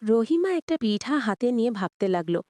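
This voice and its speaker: A woman's voice: fairly high pitch, big pitch swings, moderate pace, dark tone, no room sound. A woman narrating a scripted children's cartoon story.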